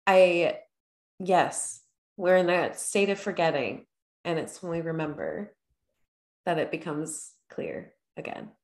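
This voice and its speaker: Calm female interviewer, very slow and affirming, lowish voice, roomy echo.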